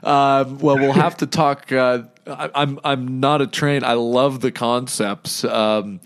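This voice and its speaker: A man's voice: medium pitch, fairly even intonation, slow. Rambling male podcast host. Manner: rambling, filler-heavy questions. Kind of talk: casual interview chat.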